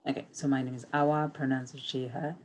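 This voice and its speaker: A woman giving a brief, halting share on a video call. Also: extremely low pitch, level tone, darkish tone. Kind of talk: casual online group check-in.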